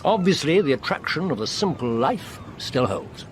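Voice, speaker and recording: An actor playing a worldly middle-aged English doctor, wry and urbane, in a radio drama. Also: male voice, on the high side, sing-song, normal speed. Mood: amused. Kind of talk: British radio drama acting. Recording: faintly noisy radio play recording.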